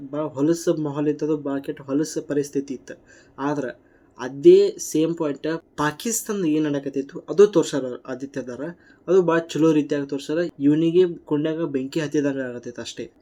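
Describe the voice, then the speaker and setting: A man's voice: on the high side, steady tone, fairly quick, mellow. A man with a North Karnataka accent giving blunt, combative, colloquial reaction commentary. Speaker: YouTube commentator.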